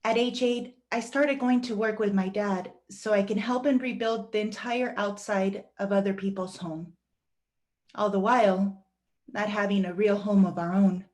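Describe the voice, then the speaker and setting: A woman reading a first-person monologue aloud as a guest reader, with a deliberate, earnest storytelling delivery. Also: medium pitch, steady tone, darkish tone.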